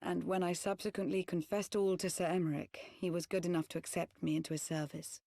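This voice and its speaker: A woman playing a composed, earnest ex-spy turned loyal knight in a video game cutscene, speaking in formal, measured, archaic phrasing. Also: on the low side, flat delivery, gravelly, mellow, no echo, clean studio sound. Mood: quiet devotion.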